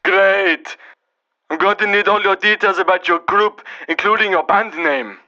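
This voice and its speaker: A man playing a flattering, persuasive music producer in an audio story, talking like a salesman. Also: high-pitched, unhurried, highs cut off, full character voice. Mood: eager, flattering. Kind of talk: children's story character acting.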